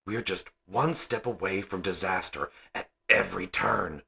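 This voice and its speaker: A man's voice: sits low, unhurried, gravelly, bright, forceful tone, heavily acted. A man voicing a blunt, frustrated female starship engineer in an audiobook story. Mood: angry, fed up.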